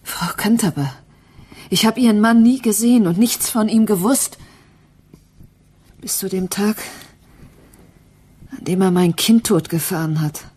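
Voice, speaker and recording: A woman's voice: mid-range voice, unhurried, pauses often, a little mellow, husky. An actress playing a grieving, guarded mother in a German radio crime drama, subdued and reserved. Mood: bitter. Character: grieving, guarded, bitter mother of a dead child.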